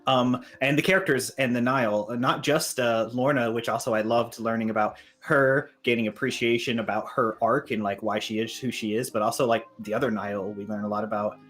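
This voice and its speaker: A man's voice: medium pitch, fairly even intonation, a little fast, hardly any pauses, a bit bright. A man giving a casual, conversational review as a panelist on a podcast. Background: background music.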